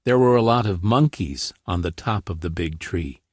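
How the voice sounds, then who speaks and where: A man's voice: sits low, animated, moderate pace, darkish tone, husky, dry recording, studio quality. A man narrating scripted fairy tales for children as a storyteller.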